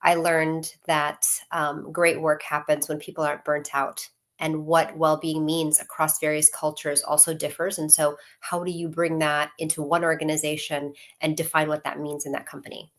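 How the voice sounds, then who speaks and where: Low flat-voiced woman panelist. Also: normal speed, few pauses. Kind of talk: panel discussion.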